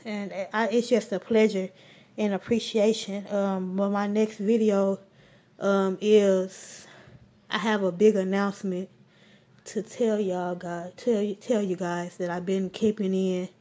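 Slow, mellow-voiced woman vlogging casually. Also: mid-range voice. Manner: rambling, full of ums, warm shout-outs. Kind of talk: casual grocery haul vlog. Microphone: phone camera held while filming.

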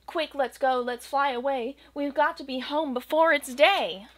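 A young woman reading a rhyming children's picture book aloud at home, with a gentle, expressive storytelling cadence. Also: sits high, a little slow. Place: small home room with bookshelves and green screen.

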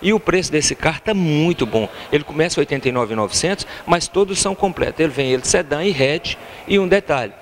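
A man's voice: on the high side, expressive, fast talker, hardly any pauses, slight background noise. A middle-aged Brazilian man giving an informal promotional sales talk as a car dealership salesman. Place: large car showroom.

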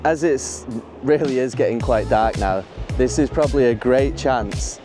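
A man's voice: mid-range voice, a little slow, over music. A young man vlogging outdoors on a rocky beach with a clip-on mic, eager and rambling, laughing at himself. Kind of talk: excited casual vlog. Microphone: small clip-on mic.

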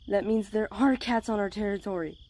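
A woman voicing an eager, earnest young forest cat in an animated comic dub, speaking with urgency. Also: medium pitch, fairly quick, lightly acted. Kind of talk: comic dub character acting.

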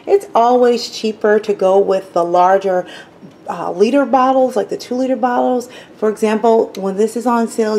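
A woman vlogger casually showing her home bar while filming it, chatty and relaxed, with plenty of um and you know. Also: mid-range voice, a little hiss or hum. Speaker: lifestyle vlogger. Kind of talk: casual home tour talk to camera. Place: home basement bar, indoors.

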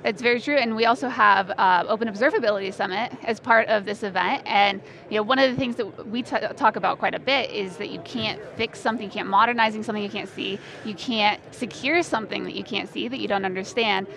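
A woman in her thirties, an industry analyst, asking engaged, quick interview questions at a convention booth. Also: mid-range voice, vocal fry, fairly bright tone. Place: busy convention show floor booth.

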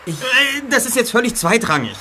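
An actor voicing a clever teenage detective who hesitates and then takes charge, in a radio play. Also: male voice, very high voice, sing-song, fairly quick, bright, forceful tone, a little hiss or hum, heavily acted. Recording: music under the dialogue.

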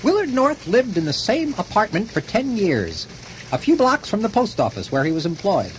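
A man's voice: high-pitched, huge pitch swings, moderate pace. An actor playing a solemn, scholarly male narrator in scripted mock-documentary radio narration. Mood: solemn, expository. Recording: noisy old radio recording.

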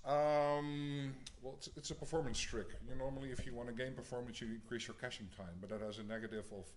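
A man's voice: mid-range voice, fairly even intonation, quick pace, slight background noise. A man answering questions on stage after winning an award, explaining his work with some hesitation. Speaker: award winner, module developer. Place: large theatre stage.

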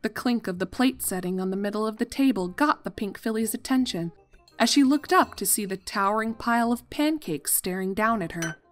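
A woman reading a fan fiction story aloud as an expressive narrator. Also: mid-range voice, brisk, soft background music.

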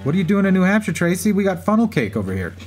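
A man's voice: high-pitched, sing-song, very fast, a little mellow. A man co-hosting a podcast with scripted banter and historical storytelling. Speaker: podcast co-host. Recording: faint background noise and ambience.

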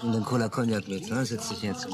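A man's voice: lowish voice, steady tone, fairly quick, music in the background, natural voice. An actor in a radio play voicing a pushy, leering man who coaxes in crude, colloquial speech. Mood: coaxing, leering. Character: pushy, leering male flirt in a bar.

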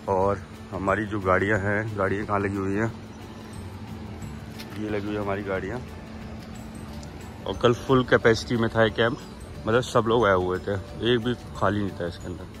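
A man's voice: fairly low pitch, steady tone, moderate pace, pauses often, fairly bright tone, soft background music. A man in his forties chats casually as a travel vlogger, filming himself on a phone outdoors at a hillside camp and pointing things out to his viewers. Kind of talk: casual vlog narration. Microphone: phone camera selfie.

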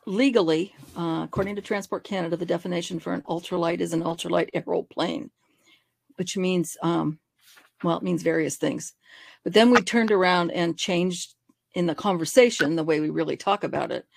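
A woman in her sixties explaining things informally and deliberately on a panel. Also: fairly low pitch, gravelly, no echo. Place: indoors, video call. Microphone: video call microphone.

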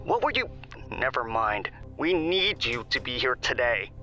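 An actor playing a terse, impatient colleague in an audio drama, giving urgent orders in a curt, commanding manner. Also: male voice, mid-range voice, big pitch swings, a little slow, bright, forceful tone, soft background music. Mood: exasperated, pressing. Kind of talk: audio drama phone dialogue.

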